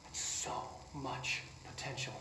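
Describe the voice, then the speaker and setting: A man playing a nervous, excitable research scientist in a game, rambling and halting as he justifies himself. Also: natural voice. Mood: excited. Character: nervous, excitable male research scientist defending his prized subject. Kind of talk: video game drama acting. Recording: distant, echoey, noisy recording.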